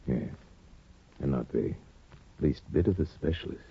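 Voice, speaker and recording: Worldly bachelor judge, period radio play; very low, suavely insinuating, lively inflection, dull old recording. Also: male voice, no echo, heavily acted. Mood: sly, probing. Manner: smooth insinuation, courtly, hesitant pauses for effect. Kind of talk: 1950s radio drama acting.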